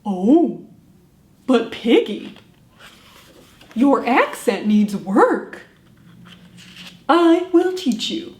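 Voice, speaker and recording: Woman as a very slow, tactful storybook elephant. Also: a little high, sing-song, a little mellow, pitched below the performer's own voice, full character voice. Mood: gentle, honest. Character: gentle, hesitant, tactful elephant friend of a pig. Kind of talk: picture-book read-aloud. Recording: echoey room with faint background noise.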